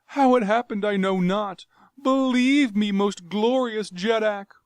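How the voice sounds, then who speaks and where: A man voicing a cringing, terrified male courtier who whimpers and pleads in a shrill voice. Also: slow pace, vocal fry, no echo, full character voice. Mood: terrified pleading.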